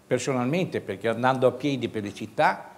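A man's voice: medium pitch, lively intonation, brisk. A man, moderating a studio debate as host. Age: 70s. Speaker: talk show host.

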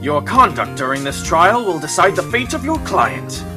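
A woman's voice: lowish voice, sing-song, normal speed, over music. A woman voicing a stern, solemn judge in a fan-dubbed courtroom game, formal and grave in manner.

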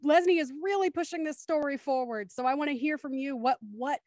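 A woman's voice: high voice, fairly quick, a little mellow, dry recording, studio quality. A woman narrating a radio play and hosting its livestream over a video call. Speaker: narrator and host. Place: indoors on a group video call.

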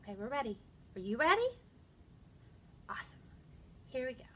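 High-pitched woman hosting children's storytime. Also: very animated, slight background noise. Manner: slow, encouraging, child-directed. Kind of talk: singing songs and reading aloud to young children. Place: indoor room by large windows.

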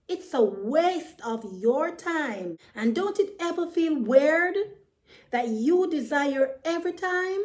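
Woman voicing a high, frank, caring bird. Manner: admonishing, emphatic, encouraging. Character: frank, caring bird friend who gives advice. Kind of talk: children's storybook character voice.

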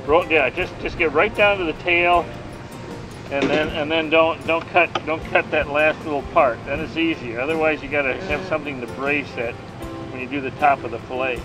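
A man's voice: on the high side, steady tone, normal speed, over music, low-quality recording. An older man hosting a casual chat on a marina dock, chatty and teasing, handing out tips. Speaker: host filming the interview. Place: outdoor marina dock by the water.

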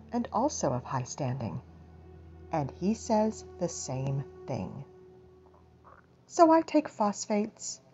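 Low-voiced woman narrating slowly over music. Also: darkish tone. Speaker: audiobook reader. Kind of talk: dramatic first-person literary narration. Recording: dull lo-fi, music behind.

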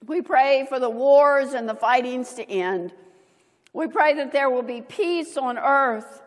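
An older woman, a pastor, reading prayers and liturgy in a solemn, measured manner, phrase by phrase, at a church lectern. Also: high voice. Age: older, 70s. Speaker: pastor leading worship. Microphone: gooseneck lectern microphone and clip-on. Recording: reverberant church hall.

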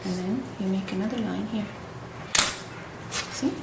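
A woman's voice: mid-range voice, unhurried. A woman in her thirties, encouraging as she gives casual step-by-step craft instructions at a table at home. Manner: encouraging, praising. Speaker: craft tutorial host and mother. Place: indoors at a home dining table. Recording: roomy echo, clear background noise and clicks.

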